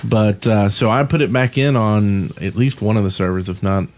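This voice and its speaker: A man with a fairly low voice chats informally as a podcast co-host. He jokes and teases his way through casual talk about games. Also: fairly even intonation, moderate pace, darkish tone. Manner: teasing, joking. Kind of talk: casual gaming podcast banter.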